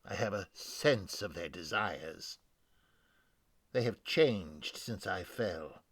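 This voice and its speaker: A man's voice: deep voice, lively intonation, slow pace, a bit bright, breathy edge, no echo, exaggerated voice. A man playing a weary, grave scholar being changed by machine parasites, formal and brooding in a dramatic game lore reading. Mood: brooding, uneasy.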